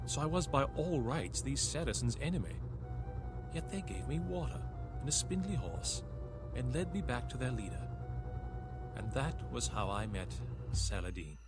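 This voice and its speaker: A man's voice: fairly low pitch, lightly acted. A man acting a weary Norman crusader knight who narrates his captivity in scripted, reflective storytelling for a game. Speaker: campaign narrator.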